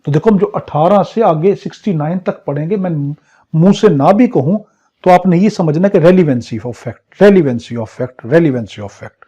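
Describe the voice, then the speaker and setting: A man's voice: a little high, expressive, unhurried, warm tone, husky. A man with a Pakistani accent lecturing as a law teacher in an exam crash course, drilling points by repetition and rhetorical questions. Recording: dull, lo-fi muffled audio.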